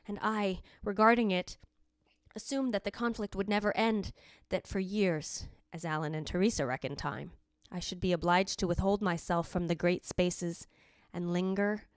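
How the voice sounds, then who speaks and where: A woman playing the jealous, brooding ghost of a dead wife, narrating in a deliberate, literary old-time radio drama style. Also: on the low side, smooth, dry recording, clean studio sound, close to an everyday voice. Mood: pitying, tormented.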